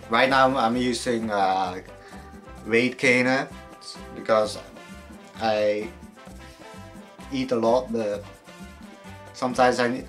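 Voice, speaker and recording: Young man, fitness vlogger, unhurried delivery, relaxed and hesitant, faint music behind. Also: mid-range voice. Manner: relaxed and hesitant, many fillers, casual swearing. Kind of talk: casual Q&A vlog.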